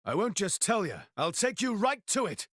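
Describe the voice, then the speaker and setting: Brash, cocky British adventurer. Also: male voice, high voice, sing-song, moderate pace, no echo, clean studio sound, full character voice. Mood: defiant, rousing. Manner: slangy, bold. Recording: loud game music behind.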